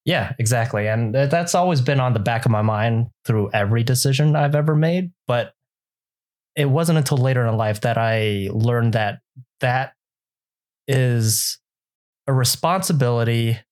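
A young man from Southern California, born to a Vietnamese-speaking home, talking as a cinematographer guest in a relaxed, reflective interview. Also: mid-range voice, normal speed. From Southern California, USA. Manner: relaxed, reflective, uses many fillers. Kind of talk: casual interview conversation.

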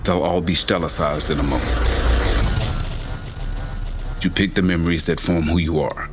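A man playing a calm, cryptic cosmic guide in an audio drama, speaking in a measured, solemn, commanding way. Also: sits low, noisy background, close to an everyday voice. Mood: calm, probing. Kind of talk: scripted audio drama acting.

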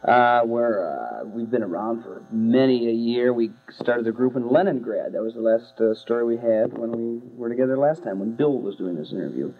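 A man in a rock band answering interview questions with deadpan jokes, halting and full of uhs. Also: mid-range voice. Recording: tinny phone-like lo-fi audio with hum.

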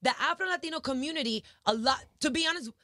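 A young woman of Dominican family talks in a casual interview, emphatic and heartfelt, slipping in Spanish phrases. Her voice sits fairly high and rings bright and forceful. The sound is close and dry. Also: fast talker, dry recording. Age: young adult. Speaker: guest, singer and entertainer.

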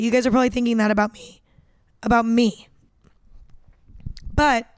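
A woman of nineteen hosting a casual solo podcast, chatty and rambling, a little hoarse with cracking voice. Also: a little high, no room sound, clean studio sound. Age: late teens. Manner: chatty, rambling, self-deprecating. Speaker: podcast host.

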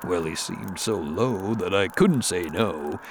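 A man's voice: low voice, animated, slow pace, a little hiss or hum. A man narrating rhyming horror verse in a theatrical storytelling cadence. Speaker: poem narrator.

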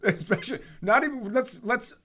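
A man's voice: extremely high pitch, animated, a little fast, pushed and bright. A man co-hosting a podcast in casual joking chat, sarcastic and blunt, swearing freely. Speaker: podcast co-host.

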